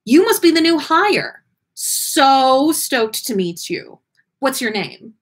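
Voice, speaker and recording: A woman voicing a joyful, energetic young female ghost with a gushing, breezy, casual way of talking in a story. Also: sits high, takes it slowly, pitched above the performer's own voice, full character voice. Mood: bubbly, excited.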